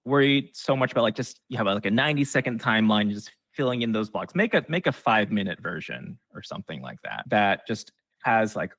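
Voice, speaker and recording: A man's voice: lowish voice, sing-song, a little fast, few pauses, a bit bright, dry recording. A man giving conversational, explanatory advice as an expert guest in an interview. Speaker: guest expert on game trailers.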